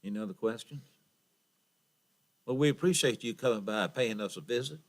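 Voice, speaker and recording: A man's voice: mid-range voice, brisk. A man chairing a county board meeting, who thanks and introduces speakers in a polite, formal way.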